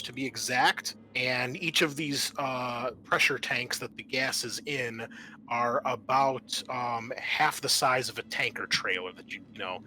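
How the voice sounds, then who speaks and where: A man's voice: mid-range voice, pushed and bright, a little hiss or hum, low-quality recording. A man speaking as host and game master, narrating and explaining a tabletop roleplaying game.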